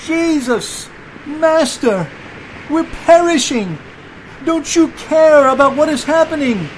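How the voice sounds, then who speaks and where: Man acting out a terrified fisherman, shouting high and desperate. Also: lively intonation, slow pace, slight background noise, heavily acted, low-quality recording. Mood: desperate pleading. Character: terrified fisherman disciple crying out in a deadly storm. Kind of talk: dramatized Bible storytelling.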